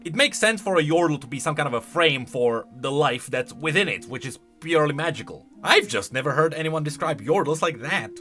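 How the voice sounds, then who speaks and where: Man, bright forceful voice, very lively pitch, casual reaction commentary, enthusiastic, halting delivery, faint background music. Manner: enthusiastic, halting, thinking aloud. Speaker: video host, game lore commentator.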